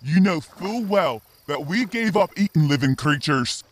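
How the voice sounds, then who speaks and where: Slow, theatrical giant sea lion played by a man. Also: on the high side, lively intonation. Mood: stern, commanding. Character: giant sea lion creatures, stern elder and pleading underling. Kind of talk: dramatic audio fiction acting.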